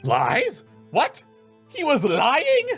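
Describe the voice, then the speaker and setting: A man's voice: extremely high pitch, huge pitch swings, extremely slow, a bit bright, soft background music, pitched above the performer's own voice, heavily acted. A man voicing a nervous young rookie defense lawyer in a video game, stammering and flustered. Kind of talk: dramatic video game dubbing.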